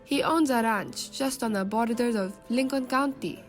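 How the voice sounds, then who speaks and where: Woman doing a chatty saloon gal, a bit high, faint music behind. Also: normal speed, close to an everyday voice. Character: friendly young saloon woman.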